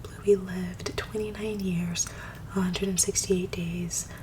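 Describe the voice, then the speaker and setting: Low grainy whispering woman. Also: flat delivery, far from the mic, background noise. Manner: quick talker who stumbles on hard words. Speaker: ASMR creator. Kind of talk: soft-spoken ASMR reading and rambling. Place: at home.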